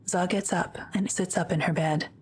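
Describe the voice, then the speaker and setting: A woman reads scripted audio drama narration. Her voice is very low and flat, matter-of-fact and measured, with faint noise in the background. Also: low-quality recording.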